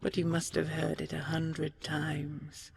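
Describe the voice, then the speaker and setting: Female actor as regal, wistful dragon queen, intimate audio drama. Unhurried, breathy, hushed delivery over faint background ambience. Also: sits low, completely flat delivery, a little hiss or hum, exaggerated voice. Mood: resigned, tender. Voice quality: soft, breathy, often half-whispered. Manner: slow, measured, stately, with lingering pauses. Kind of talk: intimate audio roleplay for sleep, ASMR-like.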